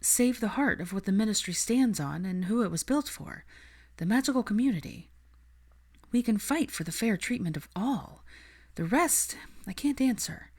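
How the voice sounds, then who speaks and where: A woman's voice: mid-range voice, lively intonation, moderate pace, a little mellow, pitched above the performer's own voice. A woman playing an earnest, idealistic young witch in a story reading, thoughtful and principled. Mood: earnest, persuasive.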